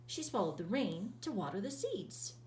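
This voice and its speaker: A woman teacher reading a children's picture book aloud in an expressive, sing-song storytelling voice, drawing out words. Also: medium pitch, moderate pace, reverberant, distant mic, slight background noise, low-quality recording.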